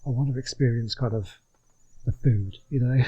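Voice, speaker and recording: A man's voice: medium pitch, moderate pace, warm tone, slightly rough, dull audio. A man giving casual game commentary as a let's play host, musing and chatty in a hesitant way.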